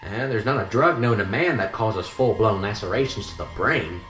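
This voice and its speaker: Man acting an animated, morbidly chatty mortician. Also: on the low side, moderate pace. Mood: explaining, animated. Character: seasoned small-town male mortician, animated, morbidly chatty. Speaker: actor in a student radio drama. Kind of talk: radio drama acting. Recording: roomy echo with clear music behind. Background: music.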